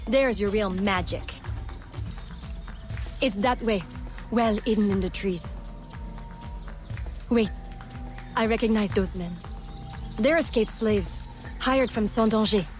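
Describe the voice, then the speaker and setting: A woman's voice: medium pitch, takes it slowly, pauses often, a little mellow, faint music behind, close to an everyday voice. An actress playing a wry, worldly female smuggler, teasing and knowing, in a video game cutscene. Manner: teasing, knowing, peppered with French.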